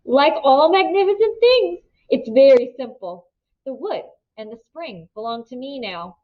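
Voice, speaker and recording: A woman's voice: expressive, unhurried, lo-fi sound. A woman reading a storybook voices a smug, greedy man who talks with petulant, thin politeness. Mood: excited, smug. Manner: smooth talk turning higher, petulant and shrill.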